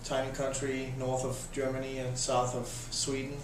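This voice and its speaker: Danish guy in his 30s, flat voice, lots of uh and restarts, far off mic in a really echoey room. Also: mid-range voice, noisy background. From Denmark. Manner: halting, many restarts and fillers. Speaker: interviewee, volunteer volleyball coach. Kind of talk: casual interview answers.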